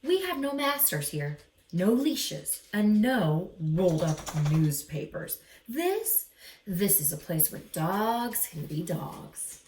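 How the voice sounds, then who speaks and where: A woman voicing a suave, calm male dog who runs a club, speaking slowly and with emphasis in a storybook reading. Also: mid-range voice, echoey room, slight background noise. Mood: proud, expansive.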